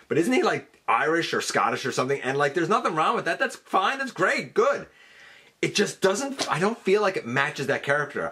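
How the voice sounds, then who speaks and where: A male host talks through a fan countdown in a loose, conversational way. His voice sits at a medium pitch and moves up and down with lively emphasis. He thinks out loud, wandering and correcting himself with obvious enthusiasm. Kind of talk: casual, chatty countdown commentary.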